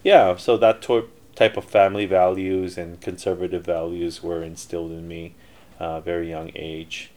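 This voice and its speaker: A man's voice: deep voice, level tone, moderate pace, darkish tone. A middle-aged man telling his life story in a casual interview, rambling with many fillers and restarts. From Canada. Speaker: interview guest, entrepreneur.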